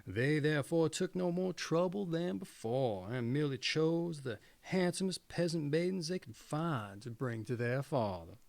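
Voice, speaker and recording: A man's voice: a little high, expressive, moderate pace, gravelly, few pauses, a little mellow, no echo. A man reading a fairy tale aloud as a storyteller.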